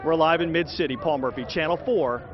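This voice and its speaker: A man's voice: on the high side, brisk. A man delivering a television news report as a field reporter. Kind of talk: live and packaged news report. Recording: dull audio, street crowd noise behind.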